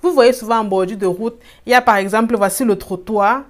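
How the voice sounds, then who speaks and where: A woman speaks in a casual vlog about her own experience, her French clearly not her first language. She often pauses to hunt for a word and lets out lively exclamations, at a middle pitch. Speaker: host. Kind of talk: casual personal vlog narration.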